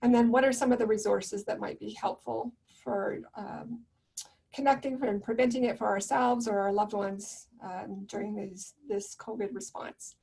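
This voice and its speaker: A soft-spoken woman giving a gentle, reflective webinar presentation about loneliness over a video call. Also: mid-range voice, steady tone, moderate pace, gravelly, breathy edge, distant mic, poor audio.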